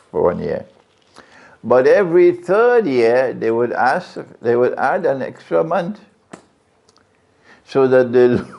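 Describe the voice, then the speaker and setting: A gravelly-voiced male preacher lectures slowly and deliberately, his pitch rising and falling with lively swings. He puts questions to the crowd and hammers his key phrases home. The recording sounds dull and lo-fi. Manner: rhetorical questions to the audience, repeats key phrases. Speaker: Islamic preacher. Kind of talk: religious lecture to a live audience. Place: lecture venue.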